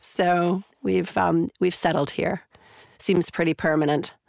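A woman's voice: on the low side, fairly even intonation, a little slow, vocal fry, darkish tone, no room sound, clean studio sound. A middle-aged woman from Canada with a Canadian accent, a psychology professor answering interview questions thoughtfully, with many fillers and restarts.

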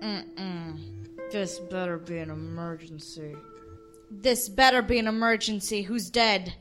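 A woman's voice: mid-range voice, fairly even intonation, unhurried, music in the background, close to an everyday voice. A woman playing a defiant, blunt young blind college student in an audio drama, sarcastic and demanding. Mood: groggy, irritated. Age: young adult.

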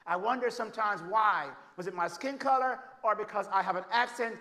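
A man with a Jamaican accent preaching a sermon, moving between loud, emphatic declarations and soft, hushed storytelling. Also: high-pitched, fairly quick. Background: congregation calling out amens.

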